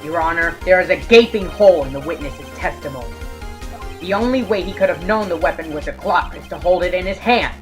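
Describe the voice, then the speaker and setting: An earnest young male defense attorney played by a fandub actor, sharp and dogged, declaiming arguments in game courtroom style. Music plays behind the voice. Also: mid-range voice, a little fast, natural voice. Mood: confident.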